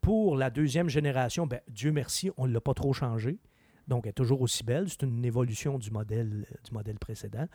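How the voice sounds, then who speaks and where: A man with a Québécois French accent, a car expert chatting on a radio show in an opinionated, animated way. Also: mid-range voice, no echo. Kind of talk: casual chat.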